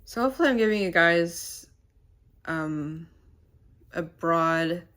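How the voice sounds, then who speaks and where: Low-voiced, stuffy-nosed woman vlogging. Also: animated, fairly bright tone. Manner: rambling and halting, many fillers. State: stuffy, nasal. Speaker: channel host. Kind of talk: casual to-camera vlog chat. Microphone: camera mic.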